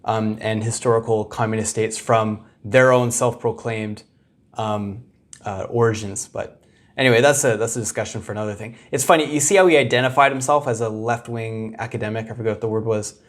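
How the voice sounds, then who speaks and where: A man's voice: fairly low pitch, fairly even intonation, brisk. A young man hosting a casual reaction commentary, talking loosely with fillers and frequent laughs. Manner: casual, hesitant, laughs often. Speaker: commentator, video host.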